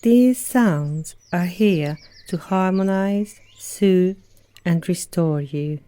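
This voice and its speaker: A woman leading a guided yoga nidra meditation as an instructor, speaking in a soothing, gentle manner with long pauses between phrases. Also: on the low side. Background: birdsong and nature sounds.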